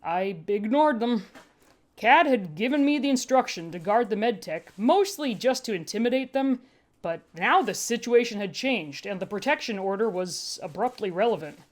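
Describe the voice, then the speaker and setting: A woman's voice: medium pitch, lively intonation, normal speed. A woman narrating a first-person story as a podfic reader, with a dry, wry delivery.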